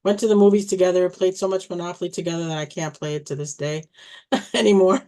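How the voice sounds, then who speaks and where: A woman in her sixties, originally from Egypt, telling her life story in a casual, reminiscing way, pausing and restarting often and laughing softly now and then. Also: fairly low pitch, fairly even intonation. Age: 60s. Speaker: family storyteller.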